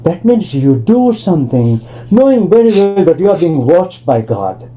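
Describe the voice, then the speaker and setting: A man's voice: fairly high pitch, very animated, normal speed, dark tone, slight background noise. An older man from Tanzania giving an academic lecture over a video call, speaking discursively with many asides and restarts. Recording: lo-fi online call audio.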